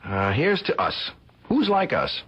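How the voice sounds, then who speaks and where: A man acting in a radio drama as an eager, flattering art student from the Midwest, earnest and enthusiastic. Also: medium pitch, unhurried, husky, full character voice. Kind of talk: radio theater acting, naturalistic dialogue. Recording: muffled, dull old radio broadcast.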